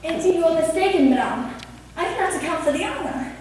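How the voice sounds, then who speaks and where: A woman acts a proud, quick-witted young gentlewoman in a period stage play. Her high voice gives formal retorts, recorded at a distance with long hall reverb. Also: expressive, a little hiss or hum. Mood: coolly defiant. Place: theatre stage. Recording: echoey stage recorded from far off.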